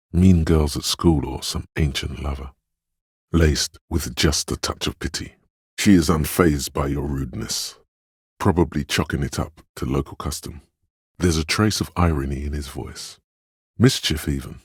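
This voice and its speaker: An actor voicing a perceptive, compassionate, brooding inner voice in a video game, with measured, hushed, deliberate narration and a gravelly, husky tone. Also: male voice, extremely low pitch, dry recording, studio quality, heavily acted. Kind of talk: scripted second-person game narration.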